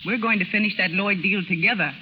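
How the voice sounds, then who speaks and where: A woman's voice: medium pitch, fast, muffled recording, lightly acted. An actress playing a spirited, devoted young secretary in love with her boss, in 1930s radio melodrama style. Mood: playful, plucky. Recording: slightly noisy 1930s radio broadcast.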